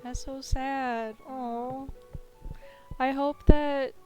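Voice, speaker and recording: A fairly high-voiced woman chatting to her stream audience. Her voice is scratchy and hoarse, and she laughs a lot as she talks. Soft game music plays underneath. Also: steady tone, drawn-out words. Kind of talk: casual live-stream chat.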